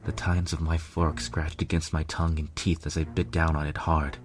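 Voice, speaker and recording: A man narrates a first-person story in a deep, low voice. His reading is scripted fiction, with music playing behind him. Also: level tone, moderate pace, a little hiss or hum.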